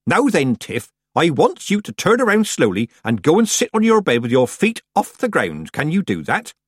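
A man voicing a jolly, earthy old village witch woman with a folksy, brisk manner in an audiobook. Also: fairly high pitch, huge pitch swings, breathy edge, no room sound, clean studio sound, pitched above the performer's own voice, exaggerated voice. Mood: jovial, careful.

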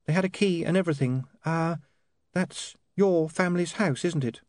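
A man's voice: fairly high pitch, normal speed, darkish tone, no echo, clean studio sound, voice pushed up, natural voice. A man voicing a nervous, well-bred young woman who hesitates and stumbles over her words. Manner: nervous, hesitant, full of ums.

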